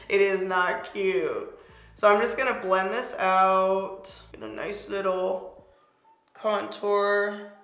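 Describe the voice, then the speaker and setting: A young woman chatting casually through a makeup tutorial, her voice a little hoarse and squeaky, playful and talkative as she works. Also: fairly bright tone, echoey room. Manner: chatty, playful, talks while applying makeup. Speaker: beauty vlogger. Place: home studio, pink backdrop.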